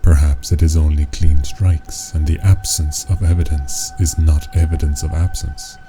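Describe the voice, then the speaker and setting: An actor playing a brooding, menacing schemer obsessed with a sea beast, speaking in ominous, archaic musings in a radio play. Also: male voice, a bass voice, steady tone, normal speed. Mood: brooding, frustrated. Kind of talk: theatrical radio drama acting. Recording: music playing loudly behind.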